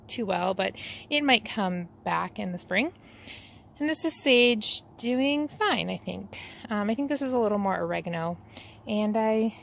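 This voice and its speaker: A woman in her thirties, hosting a casual backyard garden tour vlog, talking in a relaxed, rambling way with many ums, recorded on a phone held at arm's length outdoors. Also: fairly high pitch, creaky, a little hiss or hum. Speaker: vlog host.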